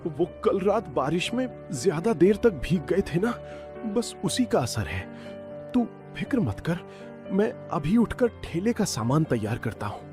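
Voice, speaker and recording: A man's voice: sits high, sing-song, measured pace. A man playing a hardworking, avuncular village father who sells street food in a cartoon story. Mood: weak, worried. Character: hardworking village father selling spicy noodles, hearty, proud. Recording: music under the voice.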